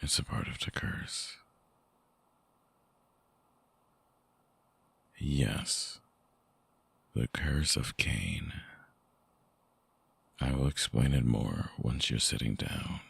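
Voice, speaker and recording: A man playing a remorseful, lovesick vampire ex-boyfriend in an intimate ASMR roleplay, husky and breathy, hesitant and pleading, close on the mic. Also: rumbling low, expressive, takes it slowly, pauses often, heavily acted. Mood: guarded, earnest. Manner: hesitant, soft-spoken, pleading. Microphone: close binaural microphone, dry.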